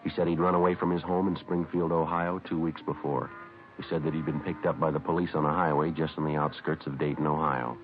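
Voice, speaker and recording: A man's voice: rumbling low, little pitch movement, fairly quick, faint music behind. A man playing a no-nonsense police sergeant who also narrates, matter-of-fact and clipped, in a 1950s radio drama. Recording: dull vintage radio recording.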